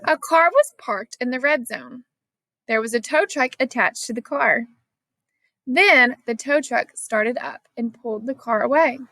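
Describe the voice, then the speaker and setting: Female storybook reader, higher register, expressive scripted narration, dry sound. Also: unhurried, dry recording. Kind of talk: scripted read-aloud narration of a children's science story.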